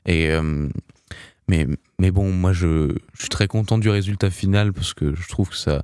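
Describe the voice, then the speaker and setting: A young male singer speaks in a very low, deep register, answering radio interview questions casually. He hesitates a lot, restarting phrases and filling gaps with small sounds. The recording is dry and close, with no room sound. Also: normal speed, a little mellow, clear, resonant tone, dry recording. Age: young adult. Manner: hesitant, many restarts and fillers.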